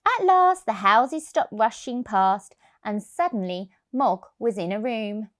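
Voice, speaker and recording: A woman narrates a story for young children at an unhurried pace. Her rather high voice moves up and down a lot, with deliberate stress on key words. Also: animated. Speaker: childminder reading stories to children.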